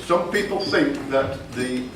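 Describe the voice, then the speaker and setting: An older man delivers a father-of-the-bride speech in a jokey, rambling way, backtracking and correcting himself mid-sentence. His voice sounds far from the microphone and bounces around a big echoey room, with steady noise behind it. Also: medium pitch, takes it slowly. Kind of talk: wedding speech. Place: wedding reception hall. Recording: echoey hall from far off, noisy.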